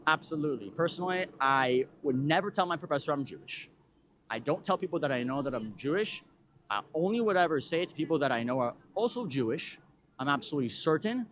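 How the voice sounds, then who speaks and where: A man's voice: fairly high pitch, expressive, quick pace, fairly bright tone, slight background noise. A man from Florida, a student answering a street interview earnestly.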